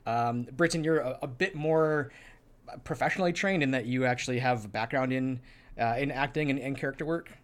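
A man talks through practical technique in a conversational co-host style. His delivery is tentative and exploratory, as he thinks aloud at a middling pitch. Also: brisk. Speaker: co-host giving tabletop role-play voice tips. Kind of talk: conversational instructional chat.

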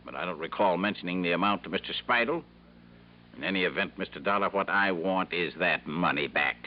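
A man's voice: deep voice, brisk, close to an everyday voice. A man playing a pompous, blustering older factory owner in a 1950s radio drama. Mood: pompous, demanding. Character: gruff, miserly, pompous older businessman, self-righteous factory owner. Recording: dull vintage radio recording, hum.

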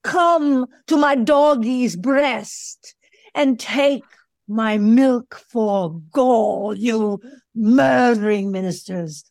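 A woman's voice: fairly high pitch, a little mellow, no echo. A woman playing an eager, sensitive little female show dog in an audio play, with theatrical delivery.

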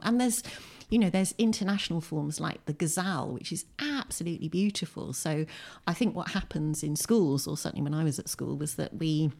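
Low-voiced middle-aged English woman. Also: vocal fry, a little mellow. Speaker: poet and adult education teacher. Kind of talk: conversational interview. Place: in a home.

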